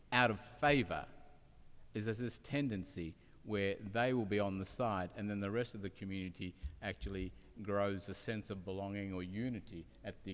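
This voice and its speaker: A man, speaking earnestly as a panelist in an echoey hall. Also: low voice. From Australia, part of childhood in Turkey. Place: large indoor event hall. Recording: echoey hall public address sound.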